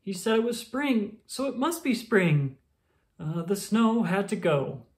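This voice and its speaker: Reader doing worked-up townsfolk in a kids book, really high voice, exclaiming, demanding. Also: very animated, a little slow. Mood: indignant. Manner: exclaiming, insistent. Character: excitable, demanding small-town townsfolk.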